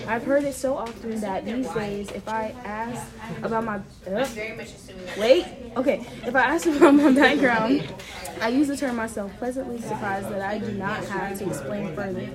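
A young woman, a student, reading a text aloud haltingly and correcting herself as she goes. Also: on the high side. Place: busy classroom.

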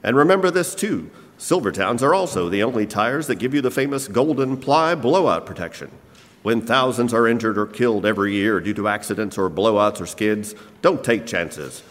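Low voiced guy doing old radio announcer script, big reverby hall. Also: expressive, normal speed. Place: church hall, live performance. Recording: reverberant hall stage recording.

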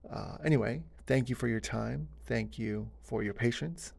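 A man's voice: mid-range voice, moderate pace, gravelly, darkish tone, husky. A man hosting his own podcast, talking alone in a casual, earnest way with plenty of "uh" and "you know" fillers. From United States (born in Korea). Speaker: podcast host. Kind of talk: solo podcast monologue.

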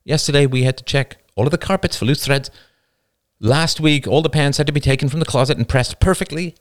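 A man's voice: on the high side, a little fast, voice pushed up, close to an everyday voice. A man voicing an anxious, defensive young man who stammers and talks in a pressured way. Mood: shaky, exasperated.

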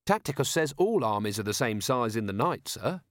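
A man's voice: medium pitch, very animated, a little fast, fairly bright tone, no echo, clean studio sound. A man voicing an earnest young soldier who explains things in an eager, deferential, polite way.

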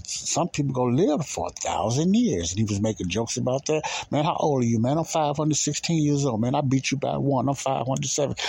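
A man's voice: medium pitch, creaky, darkish tone, husky, dry recording. A man teaching the Bible in an informal sermon, emphatic and repetitive, often asking questions and answering them himself.

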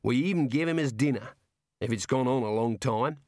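A man's voice: mid-range voice, brisk, a little mellow, no echo, studio quality, lightly acted. A man voicing a cheerful, chatty prison warder with an Australian accent and a breezy, matey manner, in a storybook reading. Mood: helpful, chatty. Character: cheerful chatty male prison warder, jovially morbid, folksy.

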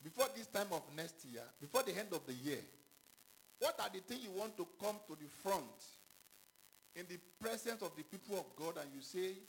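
A man preaching a sermon, halting and repetitive, with questions to the congregation. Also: sits high, animated, a little hiss or hum, rough recording. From Ghana. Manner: halting, repeats himself, calls out questions to the congregation. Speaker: pastor.

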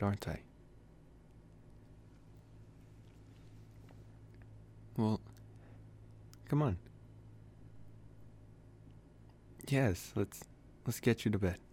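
A man acts out a young male college vampire with a lowish voice. He speaks softly and intimately, as in an ASMR boyfriend roleplay. He is possessive yet gently reassuring, with a teasing streak and halting, sighing phrasing. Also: slight background noise. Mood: gently coaxing. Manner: soft, intimate, hesitant phrasing with sighs and chuckles.

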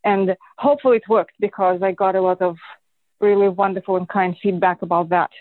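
A woman's voice: mid-range voice, steady tone, brisk, no echo. A woman from Russia, a podcast guest, speaking effusively and earnestly over a remote call. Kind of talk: podcast interview. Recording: tinny phone-like line, dry.

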